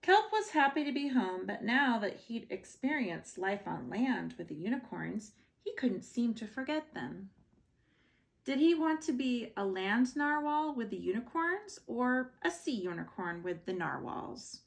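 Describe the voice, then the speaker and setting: Expressive woman reading a bedtime story, lively pitch. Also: a little slow, gravelly. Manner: expressive storytelling with short asides. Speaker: storybook reader. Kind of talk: children's bedtime story read-aloud.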